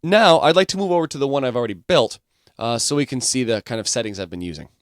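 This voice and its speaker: A man teaching music production software, explaining each step in an informal way. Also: mid-range voice, animated, quick pace, no room sound, studio quality.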